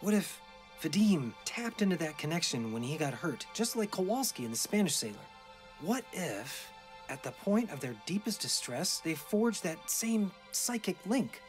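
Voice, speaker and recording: A man's voice: on the high side, very animated, moderate pace. A man playing an earnest, driven marine biologist father, speaking persuasively and with passion in an audio drama. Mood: wondering. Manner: impassioned, persuasive. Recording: music behind the voice.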